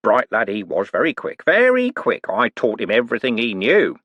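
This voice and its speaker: A man's voice: medium pitch, wildly swooping pitch, moderate pace, fairly bright tone, slightly rough, no room sound, lo-fi sound, full character voice. A man voicing a sly older male con man who poses as a preacher, wheedling and mock-pious with folksy slang.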